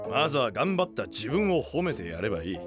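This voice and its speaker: A man playing a gruff, ambitious knight boss with a soft heart, speaking in brash delinquent style in an anime voice drama. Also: medium pitch, big pitch swings, fairly quick, natural voice. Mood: gruff, caring. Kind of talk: Japanese anime voice drama acting. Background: background music.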